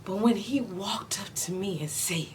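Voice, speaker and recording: A woman in her forties playing a weary, proud, loving mother in an emotional stage monologue, speaking deliberately with heavy pauses. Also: close to an everyday voice. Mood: wistful, hushed. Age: 40s. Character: weary, proud middle-aged mother and widow, wounded but loving. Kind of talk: emotional stage monologue, self-taped audition. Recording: distant mic, noticeable low rumbling noise.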